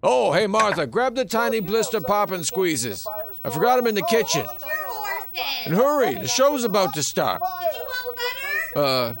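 An actor playing a lazy but eager alien husband, muttering and bossy, in an audio comedy. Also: male voice, high-pitched, big pitch swings, a little slow, a crowd in the background, full character voice. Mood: impatient, eager.

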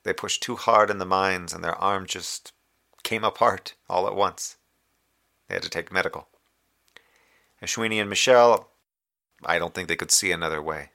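A lonely communications officer left stranded on a moonbase in an audio drama. His voice is deep, with a bright, tense edge and plenty of gravel. He broods haltingly over his guilt, sometimes drifting into verse as he records his distress log. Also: exaggerated voice. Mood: wistful, grieving. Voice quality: low, gravelly.

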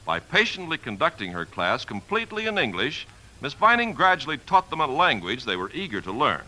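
A male announcer in old-time radio style, reading polished copy formally. His delivery is extremely animated and forceful, at a medium pitch. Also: a little fast, rough recording. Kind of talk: 1950s scripted radio narration.

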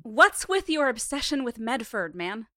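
A woman's voice: fairly high pitch, sing-song, a little slow, bright, forceful tone, full character voice. A woman playing a sarcastic, irreverent young townie ghost hunter in an audio drama.

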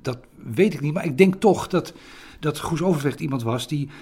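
A man's voice: fairly high pitch, moderate pace, a little mellow, slightly rough. A man giving a hesitant interview, searching for words and often repeating himself. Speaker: interviewee recounting theatre history.

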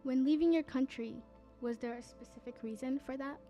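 Mellow-voiced young woman interviewer. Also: high-pitched, a little fast, muffled recording, faint music behind. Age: young adult. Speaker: youth interviewer. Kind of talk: scripted interview questions and narration.

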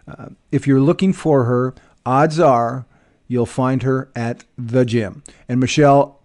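A man introduces his guest on a podcast. He reads the bio aloud at a deliberate, measured pace. His voice sits at a middle pitch. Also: steady tone, a little mellow. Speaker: podcast host.

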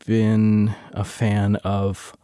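A man being interviewed, talking casually and hesitantly with many pauses and restarts. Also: on the low side, monotone, dark tone, smooth, no echo, clean studio sound. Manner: hesitant, lots of uhs and restarts. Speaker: interview guest, sound designer.

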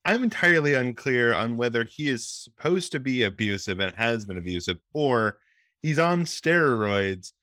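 A man's voice: mid-range voice, expressive, normal speed, bright, forceful tone, dry recording, clean studio sound. A man co-hosting a casual podcast, recapping a film's plot while musing and hedging aloud. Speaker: podcast co-host. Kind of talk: casual movie discussion.

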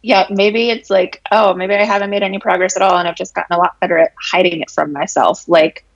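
A woman in her late twenties with a raspy, allergy-hoarse voice, talking frankly and reflectively as an interview guest. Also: on the low side, fairly even intonation, fairly quick, no echo, highs cut off. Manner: frank, reflective, many fillers and laughs. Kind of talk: candid casual conversation.

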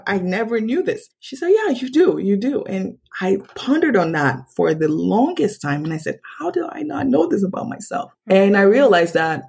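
Husky-voiced woman telling lively stories. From Nigeria. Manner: animated, acts out quoted dialogue with big pitch jumps. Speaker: podcast guest. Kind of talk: casual interview storytelling.

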